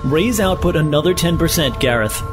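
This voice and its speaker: Actor as pompous scheming professor over loud music. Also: male voice, a little high, animated, moderate pace, lightly acted. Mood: smug excitement. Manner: pompous, formal, theatrical diction. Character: scheming, self-important male professor, smoothly feigning innocence. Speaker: actor in an audio drama. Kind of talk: science fiction audio drama acting.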